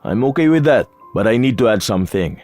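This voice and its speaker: A man playing a cool, demanding young billionaire groom in a romance audio drama, speaking in a measured, businesslike way. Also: lowish voice, lively intonation, a little mellow, soft background music, lightly acted.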